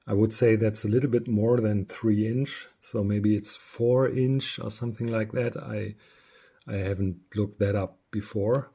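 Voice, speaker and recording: A man giving a casual, unscripted product review, speaking haltingly with frequent pauses. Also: on the low side, steady tone, warm tone, husky. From Germany. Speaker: radio reviewer.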